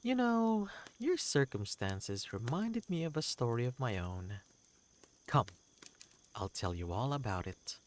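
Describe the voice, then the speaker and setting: Eccentric wandering creature, male performer, fantasy game. Proud, courteous, theatrical storyteller; unhurried, with big leaps in pitch. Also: big pitch swings. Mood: eager, friendly. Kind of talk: character voice in a fantasy game reading.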